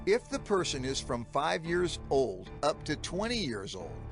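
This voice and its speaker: A stern, authoritative lawgiver delivers dramatized scripture over prominent music. His mid-pitched voice swings widely while staying formal, measured and emphatic.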